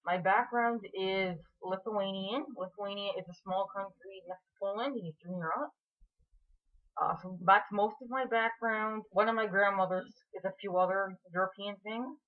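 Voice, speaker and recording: A woman's voice: mid-range voice, fairly even intonation, normal speed. A woman in her twenties from Canada, talking frankly and earnestly to camera in a casual vlog. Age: 20s. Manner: frank and earnest, with frequent restarts and fillers. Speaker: vlogger. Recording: tinny phone-like sound.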